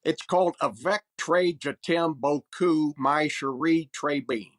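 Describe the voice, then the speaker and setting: Deadpan, higher-voiced sales clerk. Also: male voice, slow pace. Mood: deadpan salesmanship. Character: blunt working-class male perfume counter clerk. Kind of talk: old-time radio comedy acting.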